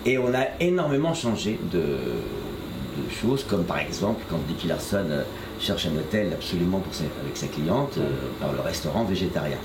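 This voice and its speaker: A man's voice: sits low, very animated, moderate pace. An older man, a voice actor, answering interview questions about his career in a hesitant way, with many fillers and restarts. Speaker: voice actor guest. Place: shop interview, echoey room. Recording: echoey room with noise.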